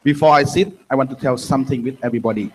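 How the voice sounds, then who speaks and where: A middle-aged man with a Vietnamese accent, speaking at a conference in halting, earnest English with simple grammar into a handheld mic. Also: medium pitch, a little hiss or hum, low-quality recording. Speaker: guest speaker, former prisoner. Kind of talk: testimony in broken English. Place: dark conference hall stage.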